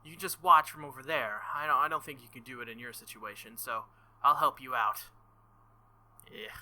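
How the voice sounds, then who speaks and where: A man's voice: medium pitch, normal speed, bright, forceful tone. A man reading the lines of a bossy, teasing teenage girl mage from a visual novel, in his own voice. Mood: coaxing.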